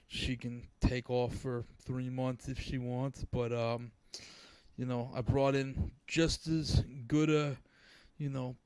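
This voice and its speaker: Man, mid-pitched voice, dry close mic, brash joking host, swears a lot. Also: little pitch movement, takes it slowly, darkish tone, smooth, no echo, poor audio. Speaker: podcast host, comedian. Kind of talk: casual podcast chat.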